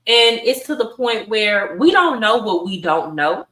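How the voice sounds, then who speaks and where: Woman, podcast panelist, bright tone, outspoken, casual debate, echoey room, off-mic. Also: medium pitch, big pitch swings, unhurried. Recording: roomy echo, distant from the mic.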